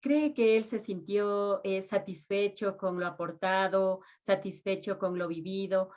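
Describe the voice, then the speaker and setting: A woman's voice: mid-range voice, steady tone, unhurried, darkish tone. Woman radio host, polite formal questions, kinda muffled audio. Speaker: radio interviewer. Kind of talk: formal interview.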